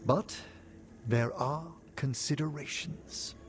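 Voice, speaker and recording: A man's voice: on the high side, slow pace, noisy background. A man playing a courteous, reflective older necromancer scholar in a video game, with formal, theatrical, erudite phrasing. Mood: conflicted. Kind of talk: video game cutscene acting. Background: music.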